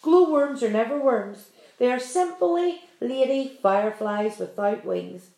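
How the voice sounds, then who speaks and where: A woman voicing a loud, quick-tempered male centipede who shouts rudely, in a storybook reading. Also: on the high side, lively intonation. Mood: irritated. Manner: shouting, rude. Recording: roomy echo.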